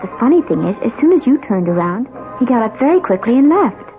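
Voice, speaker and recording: Nervous young newlywed wife, highish voice, old radio drama, tinny sound w music behind. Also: female voice, big pitch swings, brisk. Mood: uneasy. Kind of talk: 1970s radio drama acting.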